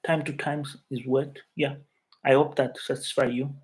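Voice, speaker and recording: A man from Mozambique, whose first language is Chuabo, hosting a casual live stream from his living room and chatting with viewers in halting English full of fillers and restarts. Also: medium pitch, little pitch movement. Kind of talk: casual live chat reading comments.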